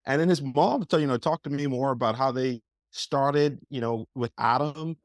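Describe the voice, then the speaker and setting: A man's voice: medium pitch, normal speed, no room sound. Young man, podcast guest, eager and rambling, mid-range voice, dry close mic. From Bahamas. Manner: eager, rambling, heavy use of like and you know. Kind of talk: casual interview storytelling.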